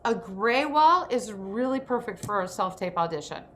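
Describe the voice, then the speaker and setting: A woman's voice: mid-range voice, animated, normal speed, fairly bright tone, slight background noise. A woman hosting and instructing in an online session, giving practical advice in a direct, decisive way. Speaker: program host and instructor.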